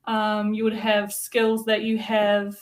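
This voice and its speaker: A woman, teaching English in a relaxed, friendly chat over a video call, with frequent ums. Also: medium pitch, slow pace. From New Zealand. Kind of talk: casual lesson conversation.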